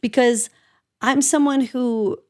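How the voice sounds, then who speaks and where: A woman's voice: a little high, fairly even intonation, slow. A woman in her thirties co-hosting a podcast, chatting casually and a bit self-deprecating, with frequent fillers and restarts. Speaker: podcast co-host.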